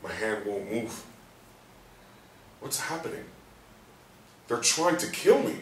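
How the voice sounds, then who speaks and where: An actor in a play reading voicing a frightened, confused paralysed man's inner thoughts in halting, anguished bursts. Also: lowish voice, sing-song. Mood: panicked, confused. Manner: halting, anguished self-talk in short bursts. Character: frightened, confused man trapped in his paralysed body, sweary. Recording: roomy, distant mic, faint noise.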